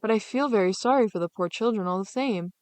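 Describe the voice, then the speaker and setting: A woman voicing a weary, soft-hearted father in a fairy tale, plain, caring and mildly stern. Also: mid-range voice, quick pace, dry recording, close to an everyday voice. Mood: reluctant, sorrowful.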